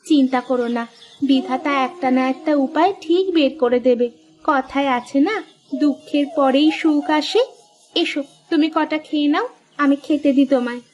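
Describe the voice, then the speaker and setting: A woman's voice: high voice, normal speed, darkish tone, highs cut off, lightly acted. A woman voicing a caring, practical village housewife in a cartoon story, fussing and mildly nagging. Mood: reassuring.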